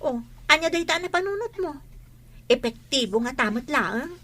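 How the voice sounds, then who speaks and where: A woman's voice: high-pitched, very animated, moderate pace, fairly bright tone. A woman playing a pushy teenage student in a radio drama, insistent and whining in her complaints. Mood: worried.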